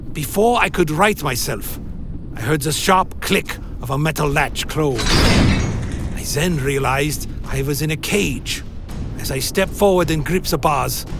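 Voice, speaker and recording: A man's voice: a little high, noisy background. An actor playing a proud, damned vampire who tells his own gothic horror tale in formal, theatrical style. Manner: theatrical, formal, indignant when speaking up. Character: damned male vampire, proud, bitter, vengeful. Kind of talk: first-person gothic horror narration and radio acting. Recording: music under the voice.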